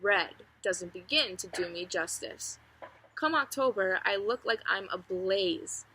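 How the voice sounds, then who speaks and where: A woman's voice: mid-range voice, a little slow, pushed and bright, poor audio. A woman voicing a friendly, proud oak tree with wry, chatty humour in a children's storybook read-aloud. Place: outdoors among trees. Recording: outdoor ambient noise, faint birdsong.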